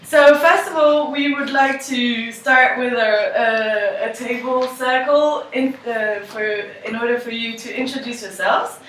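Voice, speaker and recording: A woman moderating a focus group, speaking haltingly with many restarts and fillers. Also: fairly high pitch, steady tone, a bit bright, a little hiss or hum. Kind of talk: role-played focus group introduction. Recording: echoey room, far from mic.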